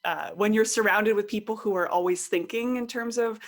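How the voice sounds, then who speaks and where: A woman's voice: mid-range voice, normal speed. A woman speaking as a podcast guest in a conversational interview, informal and thinking aloud, voicing imagined quotes as she explains. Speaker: podcast guest, online course creator and coach.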